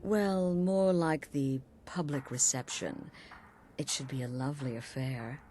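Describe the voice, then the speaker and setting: A woman's voice: very deep voice, a little slow, mellow, a little hiss or hum. An actress playing a sly, sultry female leader of assassins in a video game, purring and taunting theatrically. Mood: commanding. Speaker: video game character actor.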